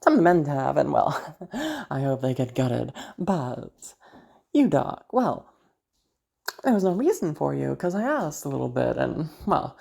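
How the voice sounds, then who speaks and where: A woman playing a crazy, seductive female patient in an audio roleplay, teasing and menacing, with halting, drawn-out phrases that drop toward a hush. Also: low-pitched, very animated, vocal fry, exaggerated voice. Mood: brash, boastful. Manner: teasing, halting, drawn-out words with sudden hushed drops. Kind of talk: audio roleplay monologue addressed to listener.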